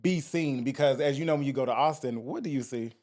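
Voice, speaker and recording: A young man co-hosting a podcast, chatting casually and animatedly, laughing often and teasing his friends. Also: medium pitch. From Texas. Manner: animated, laughing, quick to tease. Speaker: podcast co-host. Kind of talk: casual chat.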